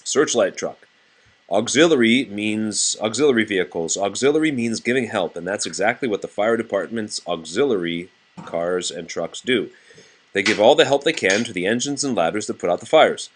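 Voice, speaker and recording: A man's voice: lowish voice, expressive, normal speed, a little hiss or hum. A man reading a nonfiction children's book aloud to a child in a plain, explanatory way.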